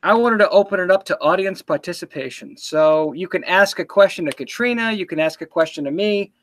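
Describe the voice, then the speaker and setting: A man's voice: high-pitched, fairly quick. A man in his late thirties hosting a casual livestream chat, joking and self-deprecating. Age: 30s. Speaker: livestream show host.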